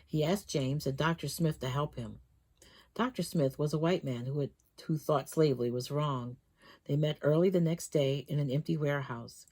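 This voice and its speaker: Woman, low pitch, storytime host, expressive read-aloud, dramatic emphasis, children's book narration. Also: normal speed, slightly rough. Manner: dramatic, emphasizing key words.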